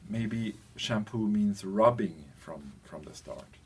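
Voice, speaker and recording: A European man giving an informal explanatory lecture as a teacher, speaking in halting phrases with pauses and a husky voice. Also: on the low side, lively intonation, darkish tone.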